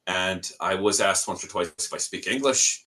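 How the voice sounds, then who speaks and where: Man, Canadian accent, young adult, low-pitched, bright tone, animated storytelling. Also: normal speed. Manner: animated, acts out quoted people in his stories. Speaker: podcast guest. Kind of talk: casual interview storytelling.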